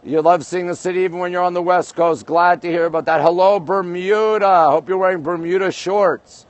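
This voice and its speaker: A man from Montreal, Canada hosting a casual livestream from a high-rise balcony at night, greeting viewers by place and reading comments aloud. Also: steady tone, a little fast, dry recording, muffled recording. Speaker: livestream host. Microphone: phone camera held by speaker.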